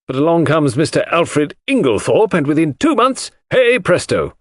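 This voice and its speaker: Audiobook role: gruff, indignant, loyal Englishwoman played by a man, raised pitch, blunt clipped delivery. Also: very animated, normal speed, no echo, clean studio sound. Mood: gruff indignation. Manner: clipped, blunt, forceful sentences. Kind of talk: audiobook character voice.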